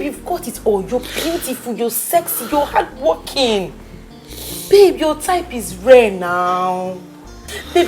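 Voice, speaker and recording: A woman with a Nigerian accent, acting a loyal best friend who insistently talks her heartbroken friend up. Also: a little high. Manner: emphatic, insistent, coaxing pep talk. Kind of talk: skit acting.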